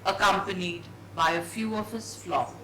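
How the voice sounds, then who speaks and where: A woman's voice: on the low side, steady tone, moderate pace, fairly bright tone. A woman giving a dramatised reading of a story in a hall. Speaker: story reader. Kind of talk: dramatised book reading on stage. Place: echoey event hall. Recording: echoey hall, distant mic, faint noise.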